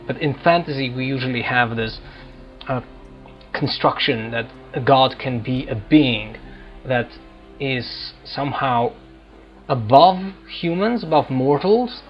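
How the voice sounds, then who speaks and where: A man hosting a role-playing game video, giving unscripted, reflective commentary in a halting way. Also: mid-range voice. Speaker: gaming video host. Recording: slightly dull audio, faint game music. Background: faint game music.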